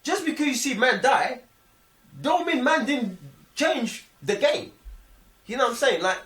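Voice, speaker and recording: A man with a London accent speaks in a high, widely swinging voice. He talks casually in slang, expressively checking that the listener follows him. He sounds away from the mic in an echoey room. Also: lively intonation, a little slow, fairly bright tone. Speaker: film review podcast co-host. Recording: echoey room, distant microphone.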